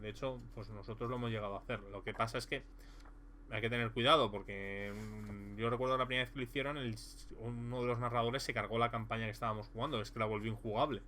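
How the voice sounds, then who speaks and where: A man's voice: medium pitch, sharp tone, a little hiss or hum. A man casually reviewing a tabletop role-playing game, rambling with many fillers and restarts. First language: Spanish.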